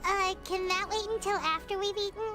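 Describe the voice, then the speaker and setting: A woman voicing a tiny, excitable, childlike fairy companion in a video game, with a perky way of talking. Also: very high-pitched, fairly quick, over loud music. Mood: chatty. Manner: refers to self in third person, perky.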